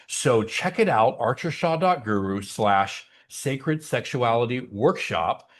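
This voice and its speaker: A man leading an online spiritual service, speaking in a gentle, inviting and personable way as he guides listeners. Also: lowish voice.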